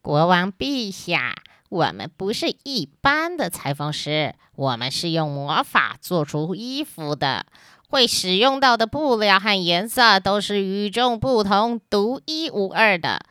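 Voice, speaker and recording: Woman acting a slow, theatrical swindler tailor. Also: medium pitch, sing-song, fairly bright tone, clean tone, no echo, studio quality. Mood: sly, boastful. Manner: theatrical, slow, salesman-like boasting. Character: sly swindler tailor boasting of magic cloth.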